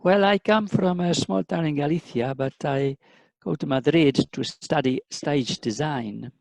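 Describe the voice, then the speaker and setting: Man acting a gravelly, blunt Spanish designer. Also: a little high, a little slow, a little mellow, no room sound. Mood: proud. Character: blunt but polite young Spanish male set designer. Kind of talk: amateur radio play acting.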